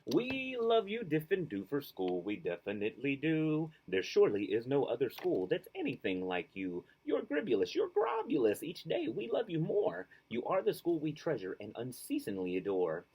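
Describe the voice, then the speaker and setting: A man voicing excitable, loyal schoolchildren from a storybook, exclaiming and chanting. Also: on the high side, moderate pace, few pauses, breathy edge, voice pushed up, exaggerated voice, poor audio. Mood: triumphant.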